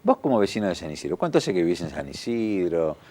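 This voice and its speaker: Man, Buenos Aires accent, low-pitched, highly varied intonation, informal interview host, halting phrasing. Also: very animated, darkish tone. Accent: Buenos Aires Argentine.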